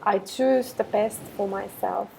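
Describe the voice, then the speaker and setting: Slow-speaking woman guiding a meditation. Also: mid-range voice, gravelly, a little hiss or hum, low-quality recording. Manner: calm, measured, gentle delivery with long pauses. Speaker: guided meditation instructor. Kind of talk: guided meditation with spoken affirmations.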